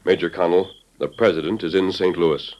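A man playing a steady, kindly masked hero in an old radio western, with firm, reassuring authority. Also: deep voice, brisk, natural voice. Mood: earnest, intent. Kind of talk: 1950s radio western acting. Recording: dull, noisy old radio recording.

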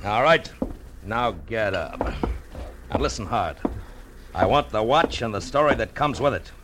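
A man's voice: fairly low pitch, expressive, a little slow, very noisy. An actor playing a tough private detective in a 1940s radio noir drama, terse and wry as he works and narrates his case. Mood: menacing, demanding. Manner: terse, wry, hard-boiled. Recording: vintage radio recording with music underneath.

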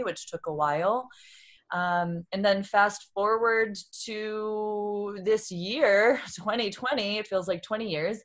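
Thirties woman, low voice, chatty guest on a podcast, reflective, laughs a lot. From Boston. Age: 30s. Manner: reflective, open, laughs often. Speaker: guest, yoga therapist. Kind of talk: casual interview talk.

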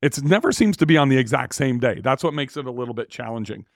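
A man hosting his own show, talking casually and in a rambling, self-correcting way with a slightly raspy, tired voice. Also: medium pitch, animated, fast talker, no echo, studio quality. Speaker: podcast host. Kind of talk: casual solo talk on real estate finance.

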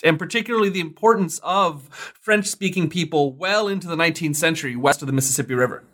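Bright-toned male interviewer. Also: a little high. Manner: reads summary then poses question. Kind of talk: interview questions.

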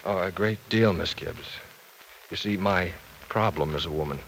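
An earnest professor in an old radio drama. The man has a fairly low voice and speaks with polite, slightly stammering formality. A light layer of vintage recording noise sits over him. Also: normal speed, creaky, a bit bright, low-quality recording. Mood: troubled, exasperated. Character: courteous, awkward, earnest bachelor professor.

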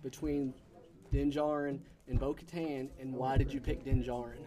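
A man answering as a convention interview guest with dry, deadpan joking. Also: medium pitch, moderate pace, a crowd in the background. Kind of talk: casual joking chat.

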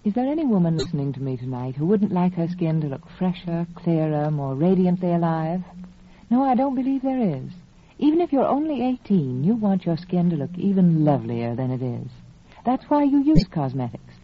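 A woman's voice: on the low side, very animated, moderate pace. A woman reading a scripted 1940s radio cosmetics advertisement in a confiding, persuasive, emphatic manner. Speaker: cosmetics spokeswoman. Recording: muffled, faintly noisy vintage radio recording.

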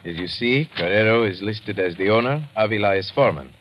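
An actor playing a polite, precise Panamanian police lieutenant in an old radio drama. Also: male voice, on the low side, expressive, a little fast, noisy background, natural voice. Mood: polite, formal. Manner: formal, deferential, precise. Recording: muffled old radio recording, loud music.

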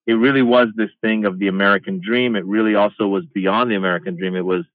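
A middle-aged stand-up comedian from Iran tells stories in a casual interview, with an animated delivery. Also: male voice, on the low side, fast talker, no echo. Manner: animated, acts out quoted exchanges. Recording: tinny phone-like line, dry.

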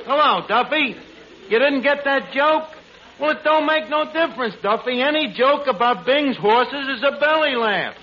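A man's voice: very high voice, animated, moderate pace. An actor playing a brash, uneducated New bar manager who grandly announces his floor show in 1940s radio comedy style. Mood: brash, dismissive. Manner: malapropisms, mangled grammar, self-important. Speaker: master of ceremonies of a comedy show. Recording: muffled old radio recording, noisy, studio audience.

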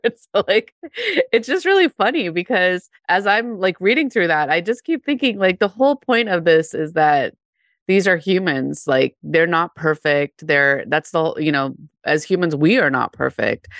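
A woman's voice: deep voice, creaky, fairly bright tone, dry recording, clean studio sound. A woman co-hosting a podcast, under the weather and a bit nasal, chatting casually and laughing often. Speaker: podcast co-host.

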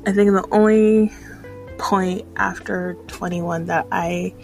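Slow, gravelly-voiced woman chatting. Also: lowish voice, steady tone, a little mellow, faint music behind. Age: 30s. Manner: hesitant, rambling, introspective. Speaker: vlogger. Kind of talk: casual personal vlog chat.

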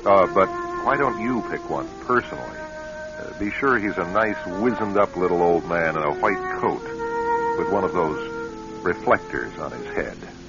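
A man's voice: deep voice, animated, moderate pace. A man hosting a radio anthology, reading scripted narration with a wry, direct address to the listener. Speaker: host, writer and director. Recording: muffled old radio broadcast. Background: loud music.

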